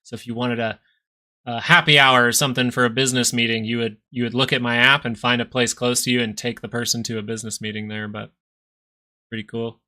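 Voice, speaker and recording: A man's voice: medium pitch, level tone, fast talker, sharp tone. A man in his thirties hosting a live stock market stream, chatting casually between chart analysis and answers to viewer questions. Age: 30s. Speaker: stock market livestream host.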